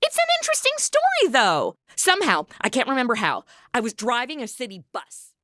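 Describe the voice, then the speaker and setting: A woman's voice: fairly high pitch, huge pitch swings, fairly quick, background noise. A woman playing a flippant, chatty, clueless apprentice angel in an audio comedy, talking in casual slang. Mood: breezy, self-absorbed.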